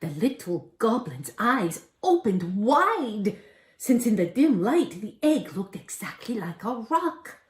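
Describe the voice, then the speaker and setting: Slow, animated older woman telling a story. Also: on the high side. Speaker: storybook reader. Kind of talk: dramatic storytelling read-aloud. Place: indoors, home dining room. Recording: roomy home recording.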